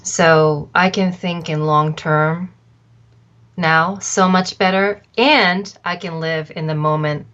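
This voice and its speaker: Brief: middle-aged woman from Japan, interview guest, low voice, reflective and unhurried. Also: steady tone, fairly bright tone, muffled recording. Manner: thoughtful, measured, with frequent um fillers. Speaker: arts agency founder, interview guest.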